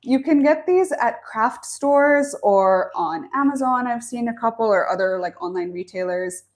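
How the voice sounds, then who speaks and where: A woman's voice: fairly high pitch, normal speed. A young woman hosting a live online lesson from a small office, chatty and upbeat, reading viewer comments aloud between explaining the supplies. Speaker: online coordinator and live-stream host. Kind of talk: friendly live-stream introduction and craft tutorial. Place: small office at a bamboo factory.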